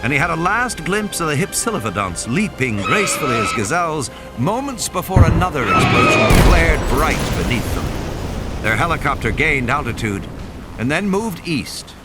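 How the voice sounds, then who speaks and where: A man reading a dramatic scripted story as an audiobook narrator, with music and sound effects behind him. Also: mid-range voice. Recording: music under the voice.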